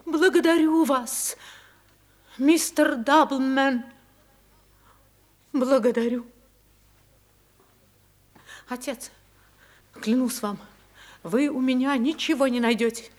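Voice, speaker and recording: A woman's voice: high voice, takes it slowly, long pauses, a little mellow. An actress in a radio drama playing a proud, desperate married woman who pleads emphatically and theatrically. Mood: wounded dignity.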